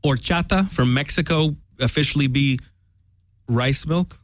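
A man's voice: a little high, unhurried, no echo, clean studio sound. A man co-hosting a casual podcast, asking playful, curious questions. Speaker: co-host. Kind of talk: casual crude chat.

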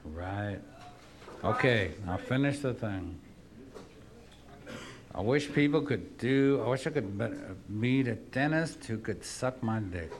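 A man reading his poems aloud to an audience, drunk, gruff and profane, rambling between pieces. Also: mid-range voice, animated, slow pace, long pauses, noisy background. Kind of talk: live poetry reading with rambling asides.

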